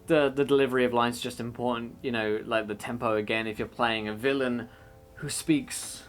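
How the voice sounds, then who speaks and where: A man, the game master, chatting casually and giving roleplay tips, with a relaxed, rambling delivery. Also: medium pitch, fairly quick. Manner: relaxed, rambling explanations with many fillers.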